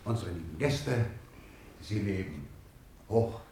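A man's voice: low voice, animated, takes it slowly, a loud crowd, heavily acted. An actor playing a formal, pompous father giving a long-winded solemn toast at a party in a radio play. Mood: solemn, festive. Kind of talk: radio play, ceremonial after-dinner speech. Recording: echoey hall, distant, faint noise.

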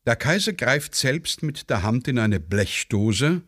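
A man narrating a radio play, reading stage directions in a measured, deliberate way. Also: medium pitch, expressive, a bit bright. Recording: dry studio sound with faint music.

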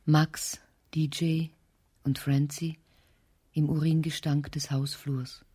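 Radio play lead: lonely, anxious woman, dark low murmur, whispered fragments, very dry sound. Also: little pitch movement, no room sound, exaggerated voice. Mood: bleak, brooding. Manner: breathy whispering, halting fragments. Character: lonely, anxious, bitter woman in bleak slum. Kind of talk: literary radio drama monologue and dialogue.

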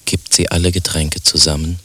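An actor playing the detached narrator of a radio drama, reading terse scene directions in a deadpan way. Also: male voice, deep voice, normal speed, husky, exaggerated voice. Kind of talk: scripted radio play narration.

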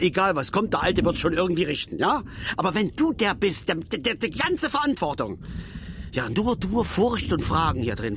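An actor playing a brooding, fearful old angler, a stubborn patriarch who rambles and insists in a naturalistic radio drama. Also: male voice, a little high, noisy background. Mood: agitated, insistent. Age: older. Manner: rambling, insistent, self-repeating.

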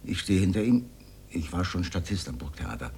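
An actor playing an older communist and failed stage actor in a radio drama, sardonic, defiant and declamatory. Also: male voice, deep voice, sing-song, normal speed, darkish tone, breathy edge, exaggerated voice. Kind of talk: radio drama monologue, theatrical.

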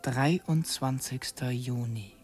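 An actor playing a tormented revolutionary in a German radio drama, intense and halting, with hushed inner monologue. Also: male voice, medium pitch, over loud music, natural voice. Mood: brooding, conflicted. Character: tormented male revolutionary narrating his secret murder plan.

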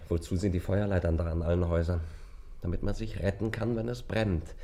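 Radio play lover: cynical, eloquent, mellow dark tone with heavy creak. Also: male voice, low-pitched, fairly even intonation, fairly quick. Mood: probing, ironic. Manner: ironic, eloquent, evasive. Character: world-weary male lover, cynical and evasive. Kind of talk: German radio drama acting.

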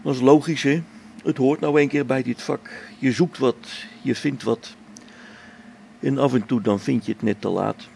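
An actor playing a middle-aged male space explorer whose faith is shaken, speaking a brooding, halting radio play log that sinks to hushed whispers. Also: medium pitch, fairly even intonation, slight background noise, natural voice. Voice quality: gravelly. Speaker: log-keeping space expedition member.

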